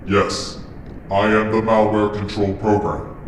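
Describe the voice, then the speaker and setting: A man performs an imperious computer ruler in a roleplaying game, speaking in a lowish, roomy voice. The delivery is cold, formal and measured, as commands from a machine. It sits back from the mic with faint noise behind it. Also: flat delivery, distant mic, natural voice. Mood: cold, commanding. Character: imperious, cold master control computer program, male.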